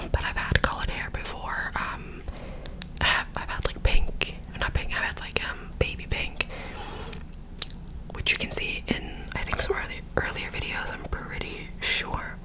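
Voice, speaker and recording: A woman's voice: moderate pace, poor audio. A young woman whispering a rambling story into a close microphone while doing her makeup, often correcting herself as she goes. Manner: rambling, self-correcting, filler-heavy storytelling. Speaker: ASMR creator.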